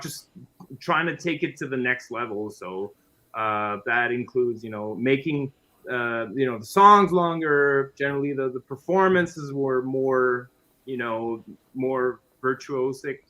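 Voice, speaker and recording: A man's voice: medium pitch, sharp tone. A man with a French Canadian accent, a native French speaker, chatting as a guest musician in a friendly, rambling way. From Quebec, Canada. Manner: friendly, chatty, often restarting phrases. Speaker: guest musician and guitar teacher. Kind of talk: casual interview chat.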